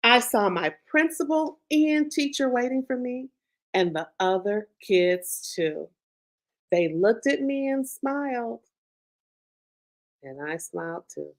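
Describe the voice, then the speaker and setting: Expressive woman author reading on a video call. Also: slow. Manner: expressive reading with big pitch swings, then relaxed chat full of "you know". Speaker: children's book author. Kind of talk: reading her picture book aloud, then interview chat.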